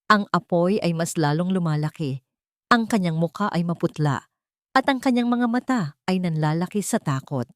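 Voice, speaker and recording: A woman delivers scripted, dramatic story narration. Her voice sits fairly low for a woman, and the sound is dry and close. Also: lively intonation, moderate pace, no echo.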